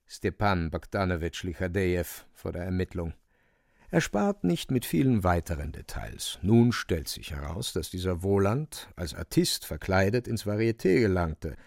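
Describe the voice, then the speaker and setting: A man's voice: deep voice, creaky, a little mellow, no echo, studio quality. A man narrating a radio play from a script, a wry storyteller with colloquial asides.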